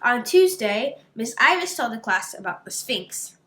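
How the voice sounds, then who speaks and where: A woman narrating a children's picture book aloud in an expressive storytelling style. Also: fairly high pitch, a little slow.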